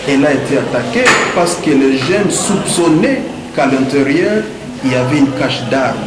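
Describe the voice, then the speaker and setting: A man with a Congolese French accent argues his position in a formal debate. He is emphatic and rhetorical, building points through repetition. The room is echoey, with clear noise behind him. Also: medium pitch, lively intonation, normal speed. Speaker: debate speaker. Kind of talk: argumentative debate speech.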